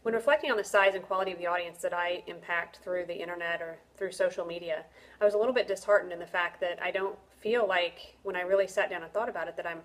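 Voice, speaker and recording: A woman's voice: lowish voice, steady tone, fast, poor audio. A middle-aged woman talking through a casual spoken reflection for a class, hesitant and self-conscious, with frequent ums and restarts. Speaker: student reflecting on a course.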